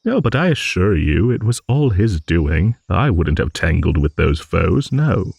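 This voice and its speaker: A man's voice: deep voice, big pitch swings, a little slow, vocal fry, breathy edge, no echo, full character voice. A man voicing a rambling old dragon, genial, wistful and a little vain, in an improvised fantasy audio monologue. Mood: playful storytelling. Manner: rambling, musing, with hums and soft chuckles.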